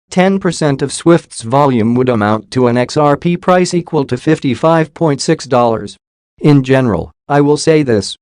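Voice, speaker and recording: A man narrates a scripted explainer at a middling pitch. He reads formally and carefully, and the sound is very dry, with no room around the voice. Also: darkish tone, dry recording.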